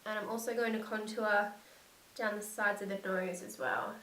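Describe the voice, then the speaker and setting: A young woman with a slightly croaky voice from a cold, narrating a makeup tutorial and gushing softly about the products. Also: mid-range voice, steady tone, moderate pace, distant mic, slight background noise. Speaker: beauty vlogger. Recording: echoey room, set back from mic.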